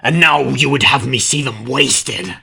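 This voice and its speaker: A man's voice: mid-range voice, measured pace, vocal fry, crowd noise, voice pushed up. A man voicing an arrogant male changeling, an insectoid villain who snarls and spits defiantly in a fantasy story. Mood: furious snarling.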